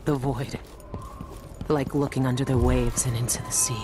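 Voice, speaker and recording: A woman's voice: very deep voice, flat delivery, normal speed. A woman playing a grim, world-weary assassin in a dramatic video game monologue, brooding and intense. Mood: brooding, eerie. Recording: loud ambient noise behind.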